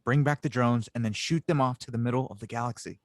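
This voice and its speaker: Quick-thinking space crewman, played by a man, insistent, medium pitch, dry recording, audio drama. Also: fairly even intonation, dry recording, close to an everyday voice. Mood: determined. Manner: urgent, insistent. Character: urgent, quick-thinking male sci-fi crewman. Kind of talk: audio drama table read.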